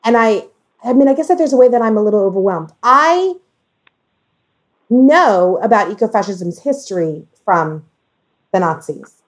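A woman in a casual discussion, speaking haltingly as she thinks aloud and corrects herself. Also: fairly high pitch, expressive. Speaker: podcast discussion guest.

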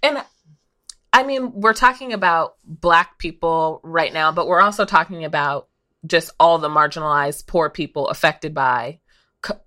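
A woman's voice: sits low, a little slow, sharp tone. A woman talking candidly and informally as a guest in a casual, reflective podcast discussion.

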